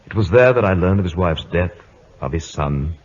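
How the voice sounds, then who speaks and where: An actor playing a grave naval officer who narrates an old-time radio drama in a measured, dramatic way. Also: male voice, low voice, lively intonation. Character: grave naval officer recounting his captain's story. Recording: dull vintage radio recording, loud orchestral music.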